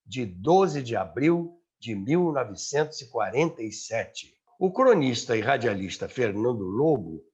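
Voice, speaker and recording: Husky Brazilian man narrating, very lively intonation. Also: mid-range voice, unhurried, dull audio. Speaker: host and narrator of a radio history series. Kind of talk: storytelling documentary narration.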